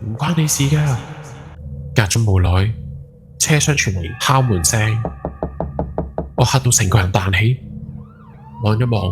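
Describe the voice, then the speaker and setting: A man's voice: fairly low pitch, a little slow, pauses often, lightly acted. A man playing a guilt-ridden night taxi driver in his forties, telling a horror story in Hong Kong Cantonese with brooding, dramatic emphasis. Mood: shaken, tense, then haunted, remorseful. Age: 40s. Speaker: ghost story podcast narrator. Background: background music.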